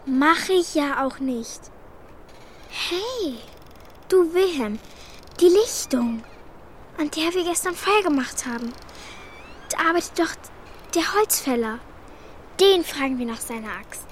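High-voiced, plucky orphan girl. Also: lively intonation, slow pace, long pauses, slight background noise. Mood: pert, determined. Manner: blunt, pert, commanding. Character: plucky, bossy orphan girl, practical and sharp-tongued. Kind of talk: children's radio play acting.

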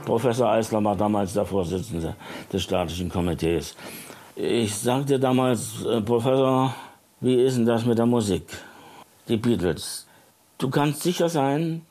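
A man's voice: on the low side, a little slow, dark tone, faint music behind. An older man telling anecdotes from memory in an interview, as a former radio editor. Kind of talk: anecdotal recollection.

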